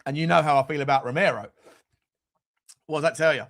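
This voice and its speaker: A man's voice: a little high, fast talker, a bit bright. A British man in his forties hosting a casual football livestream, rambling and joking in slangy, sweary chat as he answers comments. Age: 40s. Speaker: host of a football fan livestream.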